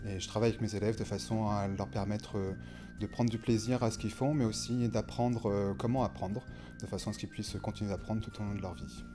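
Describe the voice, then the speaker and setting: Male teacher, low voice, measured delivery, music bed. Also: steady tone. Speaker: math teacher. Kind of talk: recorded self-introduction.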